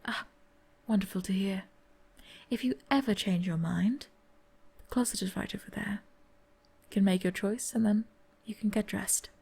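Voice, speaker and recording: A woman playing a polite, sly servant maid in an ASMR audio drama, soft-spoken and often whispering. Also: medium pitch, moderate pace, gravelly, breathy edge.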